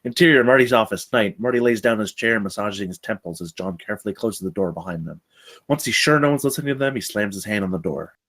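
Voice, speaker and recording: A man's voice: lowish voice, fast talker, a bit bright, slight background noise. A man reading stage directions as the narrator of a screenplay table read.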